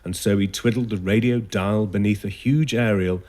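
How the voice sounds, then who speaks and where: A man's voice: on the low side, fairly even intonation, normal speed, darkish tone. A man reading scripted narration as a broadcast presenter, in a slightly noisy archive clip.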